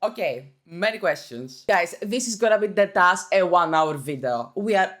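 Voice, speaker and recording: A woman's voice: fairly low pitch, lively intonation, moderate pace, fairly bright tone. A young woman with a Greek accent answering viewer questions in a casual, chatty vlog, often restarting her sentences. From Greece. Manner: chatty, self-conscious, with frequent restarts and fillers. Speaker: YouTuber. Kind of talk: casual Q&A chat.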